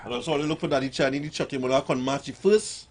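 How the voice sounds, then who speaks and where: A man's voice: medium pitch, rapid-fire, background noise. A man with a Trinidadian accent, a calypso singer guest on a radio show, joking and jovial. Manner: jovial, joking, laughs loudly. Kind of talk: casual radio interview.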